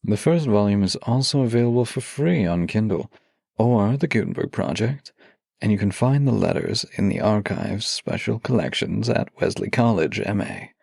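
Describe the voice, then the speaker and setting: A man with a deep-leaning voice, husky and full of fry, hosts an intimate audio show. He talks straight to the listener in a theatrical, playful way. The sound is close and dry. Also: animated, moderate pace, darkish tone, dry recording. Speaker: voice actor hosting an audio series. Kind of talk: scripted, intimate introduction to listeners.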